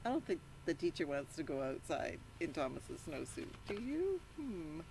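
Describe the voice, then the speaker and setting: A woman reading a picture book aloud to children in an animated storytelling style, pausing to put questions to the listeners. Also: sits low, creaky, noisy background. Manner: interactive, prompts listeners with questions. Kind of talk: animated read-aloud with asides to young listeners.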